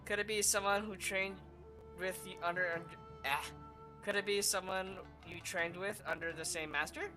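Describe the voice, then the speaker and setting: A woman's voice: mid-range voice, fairly even intonation, moderate pace. A woman streaming a video game, reacting casually with short asides and reading dialogue aloud. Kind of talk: casual live gameplay reaction. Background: faint game music.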